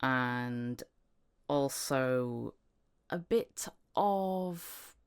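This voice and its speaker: A woman's voice: rumbling low, expressive, extremely slow, smooth. A woman podcast host with a Northern English, Yorkshire-tinged accent, chatting casually and rambling with many restarts. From Northern England. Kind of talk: casual chat.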